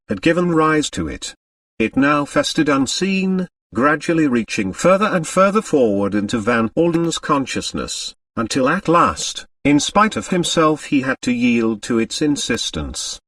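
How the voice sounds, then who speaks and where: Expressive male audiobook narrator. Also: mid-range voice, no room sound, far from the mic. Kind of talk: scripted literary narration.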